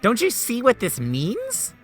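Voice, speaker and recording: A man's voice: slow pace, heavily acted. A man voicing a sarcastic, blunt male doctor in a fan dub of a game. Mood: excited. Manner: sardonic and blunt, lively swings in pitch. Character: sarcastic, scheming male memory-doctor, middle-aged. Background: faint music.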